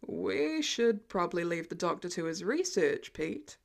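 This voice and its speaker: A woman's voice: lowish voice, sing-song, a little slow, vocal fry, voice pushed down, heavily acted. A woman playing a protective, long-suffering teenage boy in an audiobook, with a terse, dry way of talking. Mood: insistent.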